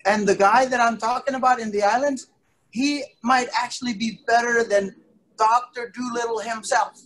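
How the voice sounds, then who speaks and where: A middle-aged man telling a playful story to children as their instructor, theatrical, with drawn-out emphasis and pauses. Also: very high voice, steady tone, fairly bright tone, low-quality recording. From an island country. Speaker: martial arts instructor telling stories to children. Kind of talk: playful interactive storytelling for kids. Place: indoor wood-panelled studio, on a video call.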